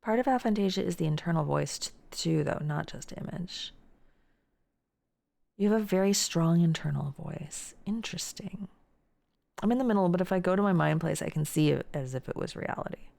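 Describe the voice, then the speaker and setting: A woman's voice: lowish voice, moderate pace, creaky, a little mellow. A young woman streaming live, chatting casually and reading out and answering viewer messages. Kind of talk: casual chat reading chat aloud.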